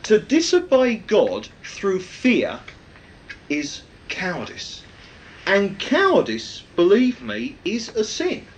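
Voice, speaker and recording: A man with a loud, projecting voice, preaching a rambling, anecdotal sermon with many fillers. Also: high-pitched, wildly swooping pitch, slow, slight background noise.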